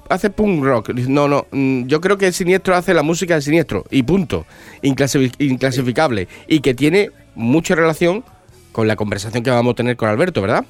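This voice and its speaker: A man hosts a radio book show while fighting a cold, so his voice comes out nasal and congested. He talks in a halting way, full of fillers and restarts. Faint music runs behind him. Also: mid-range voice. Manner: hesitant, with many fillers and restarts. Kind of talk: casual radio interview.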